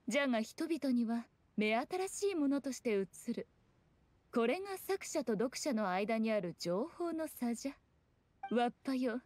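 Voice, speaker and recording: An actress voicing a sly, ageless fox shrine maiden in a video game, speaking in composed, condescending, archaic lordly language. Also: female voice, high voice, no room sound. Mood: knowing, instructive. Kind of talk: scripted video game dialogue. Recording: soft music underneath.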